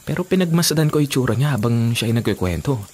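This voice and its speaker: Animated, easygoing young boyfriend. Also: male voice, mid-range voice, fast, husky. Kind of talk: Filipino radio drama acting.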